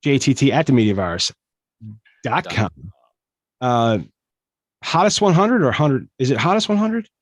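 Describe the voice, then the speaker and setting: A man's voice: mid-range voice, lively intonation, normal speed, long pauses, dry recording. A man hosting a comedy podcast, joking and rambling with lots of fillers. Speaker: podcast host. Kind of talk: casual comedy podcast chat.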